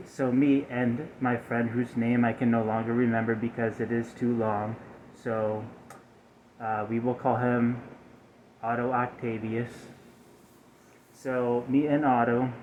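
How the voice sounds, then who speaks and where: A man plays a malfunctioning male robot in a soft-spoken ASMR roleplay, mid-pitched and stiff. The phrasing is formal, halting and over-precise, with an aggrieved air. The recording is dull, with some hiss behind it. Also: close to an everyday voice.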